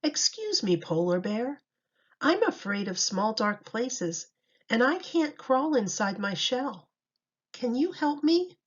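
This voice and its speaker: A woman's voice: on the high side, sing-song, slow, breathy edge, heavily acted. A woman voicing a timid, polite young boy turtle in a children's storybook, speaking earnestly. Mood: polite, pleading. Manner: polite, pleading. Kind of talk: children's storybook read-aloud. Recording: dull lo-fi recording.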